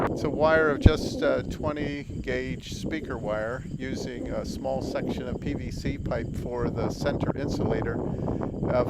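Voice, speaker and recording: A man's voice: medium pitch, very noisy. An older American man, an amateur radio hobbyist, narrating his outing in a casual vlog style.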